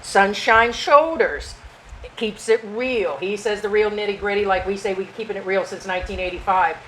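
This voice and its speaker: An older woman from the United States, co-hosting a casual chat and jumping quickly from point to point. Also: mid-range voice, a bit bright, a little hiss or hum, rough recording. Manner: chatty, talks over her partner, jumps between points. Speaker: co-host.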